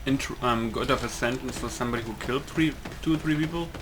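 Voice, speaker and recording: A man's voice: mid-range voice, quick pace. A man giving casual let's-play commentary on a video game in an offhand, rambling way. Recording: clear background noise and game ambience.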